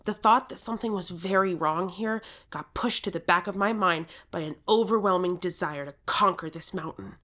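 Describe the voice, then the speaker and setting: A woman's voice: on the low side, moderate pace, few pauses, a bit bright, natural voice. A woman playing an earnest, determined thirteen-year-old contestant who narrates their own story in an audio drama. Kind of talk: scripted first-person audio drama narration.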